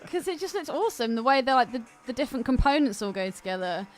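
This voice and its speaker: British girl co-host, high voice, really animated, swears and uses slang, casual. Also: quick pace. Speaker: podcast co-host. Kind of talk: casual chat.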